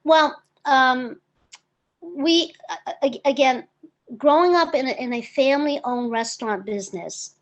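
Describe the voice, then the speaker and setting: Interview guest: enthusiastic woman in her fifties, raised in the US, with a slightly high voice. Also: unhurried. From born in Hong Kong, raised in the United States. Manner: eager, enthusiastic storyteller. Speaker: guest, real estate broker. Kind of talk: conversational interview.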